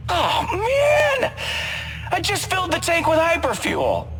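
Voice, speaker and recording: Cocky, wildly animated space rogue. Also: male voice, extremely high pitch, slow, very noisy, heavily acted. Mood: dismayed. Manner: flippant, joking, very expressive. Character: cocky, goofy, wisecracking space outlaw pilot. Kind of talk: video game character dialogue. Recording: long reverb, faint music.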